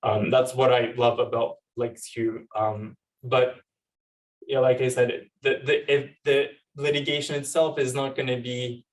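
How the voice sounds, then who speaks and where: A man's voice: medium pitch, level tone, unhurried. A young man talks informally and a little hesitantly on a panel, telling personal stories with plenty of "like". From Turkey. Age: young adult. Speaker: panel speaker, law student and activist. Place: panel event at a university. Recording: distant microphone at live event.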